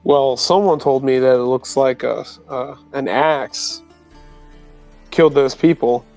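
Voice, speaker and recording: A man's voice: mid-range voice, fairly even intonation, a little slow, darkish tone. A man playing a tabletop roleplaying game, talking in a casual, joking roleplay style. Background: music.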